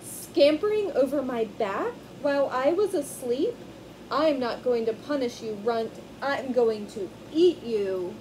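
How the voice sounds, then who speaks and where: A woman voicing a proud, lazy male lion king who speaks in a slow, lordly way. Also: sits high, lively intonation, a little mellow, a little hiss or hum, low-quality recording.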